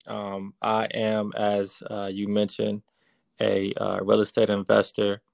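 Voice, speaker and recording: A man in his thirties, a real estate investor, answering interview questions in a relaxed, casual way with many fillers. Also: fairly low pitch, slow pace, creaky, dry recording. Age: 30s.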